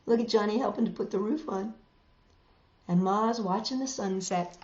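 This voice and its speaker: A woman reading picture books aloud to children, expressive and exclaiming, lingering on words and adding chatty asides. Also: mid-range voice, normal speed. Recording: dull, echoey room recording.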